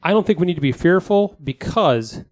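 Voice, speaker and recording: Guy on a podcast, voice a bit high, casual, sweary, enthusiastic, kinda halting. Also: animated, darkish tone. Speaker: podcast host. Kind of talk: casual chat.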